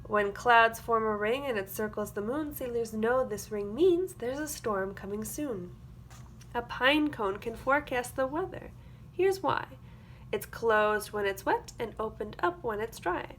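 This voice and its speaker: A woman's voice: on the high side, animated, measured pace, a bit bright, slight background noise. A woman teacher reading a picture book aloud to young children, expressively, with simple explanatory asides. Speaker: early-grades classroom teacher.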